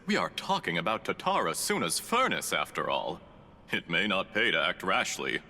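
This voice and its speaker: A formal, suave schemer in a video game. His low voice moves in animated, deliberate swoops, polite and theatrical. It rings out with long reverb. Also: exaggerated voice. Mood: smooth, cautioning. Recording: long hall reverb, faint rumbling noise.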